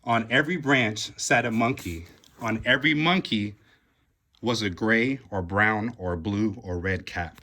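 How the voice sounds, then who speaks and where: A man reading a children's picture book aloud in an expressive, deliberate way with dramatic pauses. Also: on the low side. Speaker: storybook reader.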